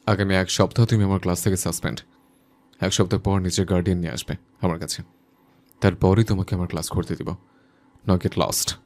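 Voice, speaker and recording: A man's voice: low-pitched, steady tone, brisk, darkish tone. A man playing a stern, hot-tempered university teacher who scolds in a curt, commanding way in an audio story. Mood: angry, commanding. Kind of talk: dramatised audio story dialogue.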